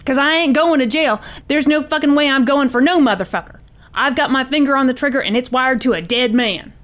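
A woman's voice: high voice, brisk, fairly bright tone. A woman voicing an agitated, cursing male hostage-taker with a gun, reading his defiant, folksy threats from a transcript. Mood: agitated, bitter. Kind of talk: reading a call transcript aloud.

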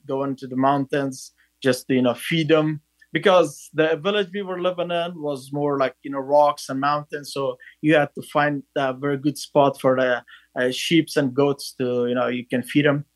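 A man, a podcast guest telling his story in a halting way, with many fillers. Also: on the high side, no echo. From Afghanistan. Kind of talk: casual interview storytelling.

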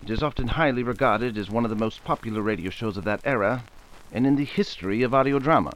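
A man's voice: mid-range voice, quick pace, poor audio. A man giving a formal scripted address to listeners as author and director, in the manner of old-time radio.

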